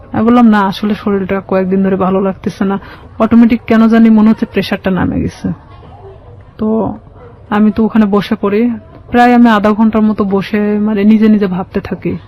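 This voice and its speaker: A woman's voice: mid-range voice, dull audio. A woman with a Bangladeshi accent telling her own life story as an interview guest, colloquially, quoting conversations as she goes. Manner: colloquial, recounts conversations with quoted dialogue. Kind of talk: personal testimony in an interview.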